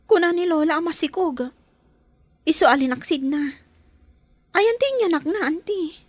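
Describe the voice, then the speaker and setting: A woman's voice: extremely high pitch, normal speed, dry recording. A woman acting a troubled young girl in an Ilocano audio drama. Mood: concerned, curious. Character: troubled young girl asking after her sick mother. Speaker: actor in a drama.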